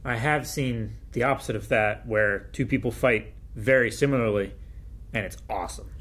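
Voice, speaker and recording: A man's voice: mid-range voice, normal speed, a bit bright. A man in his thirties, co-host of a movie reaction show, chiming in casually with short, dry remarks. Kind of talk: casual chat. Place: studio room with shelves and swords.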